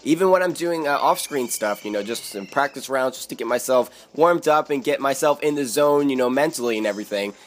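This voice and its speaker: Hoarse young man. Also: medium pitch, lively intonation, fairly quick, hardly any pauses, slight background noise. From Philadelphia, USA. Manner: chatty, strategizing aloud, frequent fillers. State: hoarse, raspy from yelling. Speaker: gaming YouTuber. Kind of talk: live game commentary.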